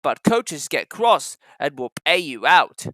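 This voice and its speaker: A storyteller acts out a sensible older male steam engine in a children's storybook. The voice is bright and animated, giving kindly advice like a mentor. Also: medium pitch, slow, no echo, full character voice. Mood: cautioning. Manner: advising, puffing when working.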